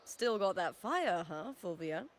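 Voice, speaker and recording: An actress playing an upbeat, fiery young female arena fighter in a video game, chatty and animated. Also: on the low side, a little slow. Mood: teasing, relaxed. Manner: animated, breezy, casually chatty. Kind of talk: video game character acting.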